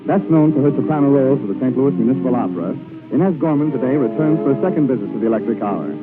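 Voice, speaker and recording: A man with an American accent announcing formally from a script in a measured, promotional 1940s radio style. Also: mid-range voice, expressive. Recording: tinny 1940s radio broadcast, music behind.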